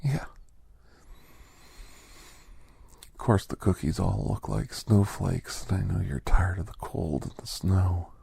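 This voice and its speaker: Gravelly, whispery old doctor played by a man. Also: deep voice, mellow, no room sound, exaggerated voice. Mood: welcoming, hushed. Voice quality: breathy, gravelly half-whisper. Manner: unhurried, folksy, halting, conversational. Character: genial old doctor, a retired secret government scientist, reminiscing. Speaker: storytelling podcast host in character. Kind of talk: ASMR storytelling, soft-spoken roleplay.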